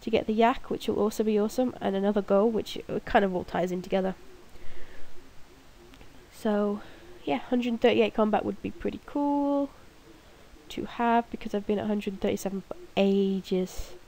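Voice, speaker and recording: A woman's voice: mid-range voice, moderate pace, creaky, mellow. Bunged-up young woman rambling over gameplay. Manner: rambling, self-mocking, giggly. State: blocked nose from a cold. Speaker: gaming video creator. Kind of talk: casual gameplay commentary. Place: room at home, alone at night.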